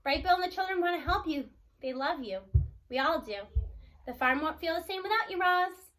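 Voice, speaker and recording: A woman's voice: extremely high pitch, animated, normal speed, fairly bright tone, pitched above the performer's own voice. A woman voicing a young female calf in a storybook, curious, kindly and earnest. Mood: reassuring.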